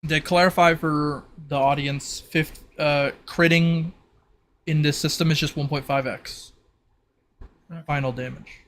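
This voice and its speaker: Guy game mastering, kinda high voice, chatting through rules, noisy mic. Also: steady tone, a little slow, fairly bright tone. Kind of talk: casual tabletop game rules explanation.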